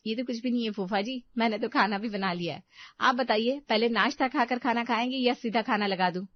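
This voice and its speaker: A woman's voice: a little high, fairly quick, dry recording, dull audio, lightly acted. A woman voicing a dutiful, emphatic young daughter-in-law in a family drama. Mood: eager, respectful. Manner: earnest, emphatic.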